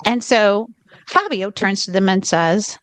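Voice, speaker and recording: A woman's voice: medium pitch, animated, no echo. A woman with a Southern US drawl, co-hosting a podcast and telling a long travel story in rambling casual chat, often stopping on "okay.". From United States. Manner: rambling, keeps pausing on "okay". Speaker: podcast co-host.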